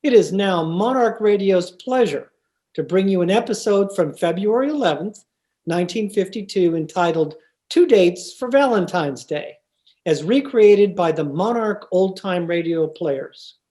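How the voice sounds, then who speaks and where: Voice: medium pitch, animated, unhurried, dark tone. An announcer reading scripted narration in the style of old-time radio.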